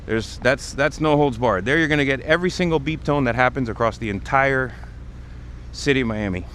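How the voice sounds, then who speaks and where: A man's voice: mid-range voice, brisk, fairly bright tone. A man hosting a casual livestream outdoors on a city street, explaining things in a conversational way. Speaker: livestream host. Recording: outdoor street noise, passing vehicles. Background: traffic and urban ambience.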